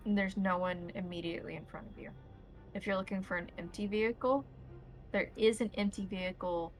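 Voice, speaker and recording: A woman's voice: fairly low pitch, rough recording. A woman running a tabletop roleplaying game as game master, narrating scenes and calling for rolls.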